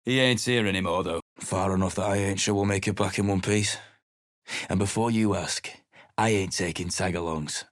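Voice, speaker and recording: An actor playing a surly, battle-worn mercenary adventurer in a video game, speaking in a gruff, blunt, dismissive way. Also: male voice, deep voice, moderate pace, no room sound, clean studio sound, natural voice.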